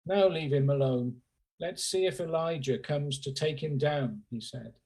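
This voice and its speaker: Slow, husky man reading scripture. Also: fairly high pitch, darkish tone. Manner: slow, measured phrasing with pauses. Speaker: scripture reader at a church service. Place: remote, on a Zoom call. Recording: remote video call audio.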